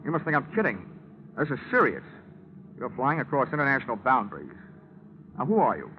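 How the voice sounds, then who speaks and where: A man's voice: medium pitch, wildly swooping pitch, fairly quick, slight background noise. An actor with an American accent playing a tough, sardonic male investigator who narrates in a 1940s radio detective drama. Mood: sardonic, stern. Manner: hard-boiled, sardonic. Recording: dull 1949 radio recording, music behind.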